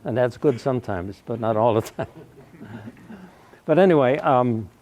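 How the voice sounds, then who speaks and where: Elderly man around ninety, mellow, warm low tone, guest speaker telling his story loudly without a microphone. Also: expressive, normal speed, a little hiss or hum. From Wausau, Wisconsin, USA. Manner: folksy storytelling, often clears his throat. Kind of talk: personal life-story talk to a live audience. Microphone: no microphone, recorded in the room.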